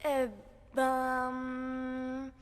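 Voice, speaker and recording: A woman's voice: high-pitched, completely flat delivery, extremely slow. A woman voicing a cautious, well-behaved young cartoon schoolgirl with a hesitant manner. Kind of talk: dubbed cartoon acting. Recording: loud music under the voice.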